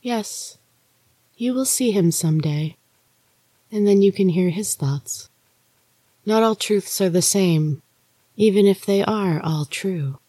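A narrator voicing a protective, enigmatic ghost sister in an earnest, soft-spoken way for a storybook. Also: mid-range voice, slow pace, pauses often, husky, no echo, pitched above the performer's own voice. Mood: tender, earnest.

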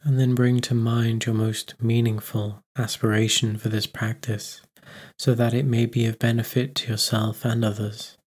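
A man guiding a meditation, giving gentle instructions with unhurried pauses. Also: mid-range voice, little pitch movement, husky. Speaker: meditation guide. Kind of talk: guided meditation narration.

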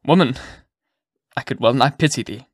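A man's voice: medium pitch, normal speed, no echo, clean studio sound, close to an everyday voice. A man voicing a bitter, gloomy old physician with a vengeful streak, in solemn archaic theatrical storybook speech. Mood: grave pity. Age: older.

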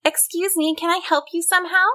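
A woman voicing a fearless, helpful young woman in a storybook, speaking boldly in a high, unconcerned voice. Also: little pitch movement, a little fast, bright, forceful tone. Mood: calling out. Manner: bold, unconcerned, high-pitched calling. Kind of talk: storybook character voice.

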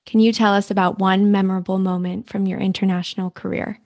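A woman's voice: medium pitch, little pitch movement, fast talker, a little mellow, no echo, clean studio sound. A woman hosting a podcast interview and putting questions to her guest.

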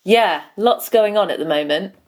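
A young woman co-hosting a podcast in casual chat, soft-spoken but quick to giggle. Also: mid-range voice. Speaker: podcast co-host.

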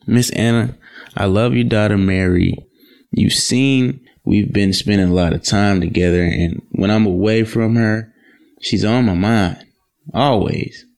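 A man's voice: fairly low pitch, fairly even intonation, a little slow, vocal fry, darkish tone. A man playing an earnest, respectful young man in love in an audio drama, speaking politely and formally.

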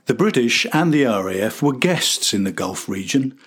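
A man's voice: mid-range voice, very animated, normal speed, over loud music. An older man narrating a scripted history podcast as its host.

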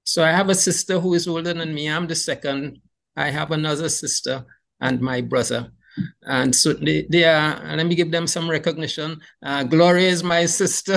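A middle-aged man from Trinidad and Tobago with a Trinidadian accent, telling a warm, animated life story as a podcast guest. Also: creaky. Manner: animated, rises in pitch when excited. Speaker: guest beekeeper. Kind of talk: storytelling conversation.

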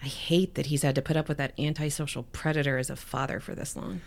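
Caring wry friend, lowish voice, casual, naturalistic audio drama. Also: female voice, fairly even intonation, fast, gravelly. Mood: concerned.

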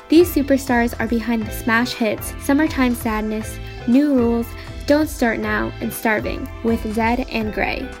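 Host lady, kinda high voice, reading upbeat intros over music. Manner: upbeat, reads from a script. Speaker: panel moderator. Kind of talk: scripted introductions.

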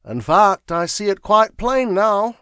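A man's voice: sits high, takes it slowly, bright, forceful tone, clean tone, dry recording, studio quality, voice pushed up, heavily acted. A man voicing a mild, nonchalant private secretary in a storybook, with a vague, leisurely, drowsy drawl. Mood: vague, unhurried.

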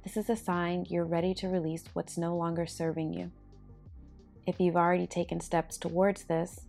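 A woman hosting a guided meditation, speaking in a calm, soothing, measured way. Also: on the low side, vocal fry. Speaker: podcast host and meditation guide.

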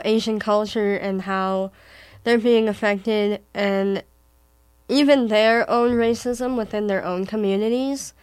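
Teen girl, Wisconsin accent, unhurried and thoughtful, clear voice, close and dry. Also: medium pitch, a little mellow, no echo. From Wisconsin, USA. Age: teens. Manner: thoughtful, halting, with frequent restarts. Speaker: daughter in conversation with her mother. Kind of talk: casual conversation.

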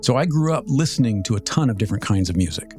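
A man in his fifties with an American accent, telling a scripted personal story as a podcast host in a measured, reflective, articulate way. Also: mid-range voice, animated, darkish tone. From Southern California, United States. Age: 50s. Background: music.

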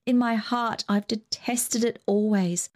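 Brief: prim, bossy eldest daughter, young adult, as a female reader puts her on, higher voice. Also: steady tone, slow pace, a little mellow. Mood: confessing. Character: earnest young woman, eldest daughter, about to wed.

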